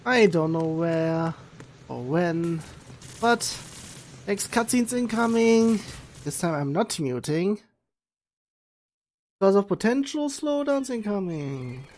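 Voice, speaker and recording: Streamer doing casual gameplay commentary, really slow n hesitant, some background noise. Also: mid-range voice, lively intonation, a little mellow. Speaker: game streamer.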